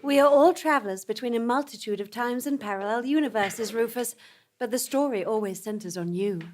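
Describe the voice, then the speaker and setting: Earnest mentor to a rebel cause, female actor. High voice, solemn delivery, for comic sci-fi audio drama. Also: fairly quick, a little hiss or hum, lightly acted. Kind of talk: comic sci-fi radio-play acting.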